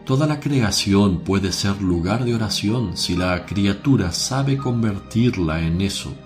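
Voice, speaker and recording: Man acting slow, solemn gentle preacher over music. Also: lowish voice, expressive. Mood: solemn, exhorting. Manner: solemn, measured, declamatory. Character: Jesus, gentle solemn male teacher preaching outdoors. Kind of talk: dramatized religious radio play, preaching.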